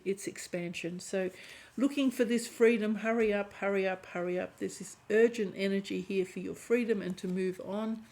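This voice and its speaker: A woman giving a chatty tarot reading to camera, hoarse and often clearing her throat as her voice fades. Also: mid-range voice, a little mellow. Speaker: tarot reader.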